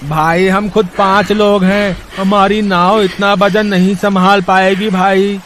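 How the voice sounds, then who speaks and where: A man's voice: sits high, flat delivery, slow pace, a bit bright, slight background noise. A man voicing an unwilling boatman in a moral-story cartoon. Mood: refusing. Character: unwilling boatman refusing to take passengers.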